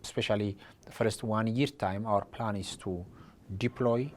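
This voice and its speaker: A man from Ethiopia, an education official, speaking haltingly with many repeated restarts. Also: on the low side, darkish tone, slight background noise. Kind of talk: interview statement.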